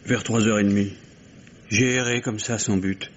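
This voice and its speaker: An actor playing a distraught, bitter young French lover under suspicion in a 1950s-style radio drama, with hesitant, broken phrasing. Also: male voice, lowish voice, fairly even intonation, normal speed, close to an everyday voice. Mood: lost, hesitant. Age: young adult. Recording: dull, noisy vintage radio recording.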